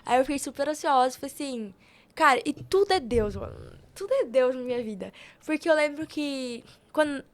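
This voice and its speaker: A woman's voice: high-pitched, lively intonation, normal speed. A young Brazilian woman telling personal stories casually as a podcast guest, slangy and often retelling conversations as dialogue. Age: young adult.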